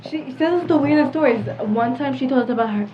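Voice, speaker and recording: High-voiced teenage girl, lo-fi sound. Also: fast, noisy background. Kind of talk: casual anecdote-telling chat. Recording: dull lo-fi with loud music.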